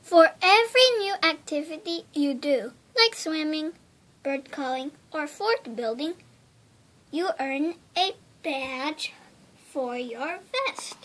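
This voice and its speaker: A woman as a high-voiced, kindly scout leader. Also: lively intonation, slow pace, a bit bright, exaggerated voice. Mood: welcoming, instructive.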